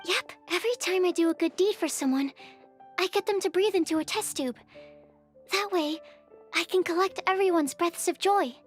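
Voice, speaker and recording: A woman voicing a shy, earnest young female alchemist in a video game, speaking hesitantly and gently. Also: extremely high pitch, moderate pace. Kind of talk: video game cutscene voice acting. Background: music.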